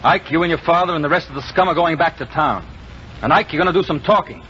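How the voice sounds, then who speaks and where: A man's voice: on the high side, fast talker, lightly acted. An American man acting a bold, unflinching Old West lawman in an old-time radio western, firm and taunting. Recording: muffled, hissy vintage radio recording.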